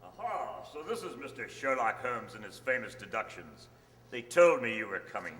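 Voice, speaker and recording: A man playing a sardonic, prickly, self-assured country-house gentleman in an old-time radio mystery style. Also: mid-range voice, very animated, normal speed, lightly acted. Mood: mocking. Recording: noisy, echoey stage hall.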